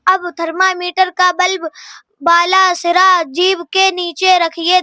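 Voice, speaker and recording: A young girl, a student, reading a textbook aloud in a halting, word-by-word way with stumbles. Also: extremely high pitch, bright, forceful tone, no room sound, clean studio sound.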